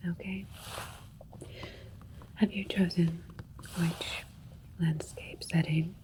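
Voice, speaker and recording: A woman voicing a calm, clinical female alien doctor in an ASMR roleplay, soothing and methodical in a soft, breathy whisper. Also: on the low side, drawn-out words, a little hiss or hum, rough recording.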